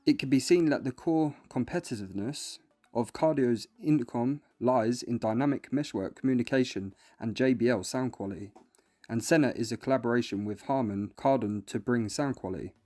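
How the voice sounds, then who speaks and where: Mellow male product review narrator over music. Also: medium pitch, moderate pace. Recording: music bed behind voice.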